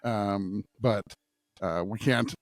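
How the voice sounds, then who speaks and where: A man's voice: on the low side, slow pace, no echo, clean studio sound. A middle-aged American man co-hosting a podcast, chatting casually in a rambling, self-deprecating way. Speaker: pastor and podcast co-host. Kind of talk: casual unscripted chat. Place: studio at a church.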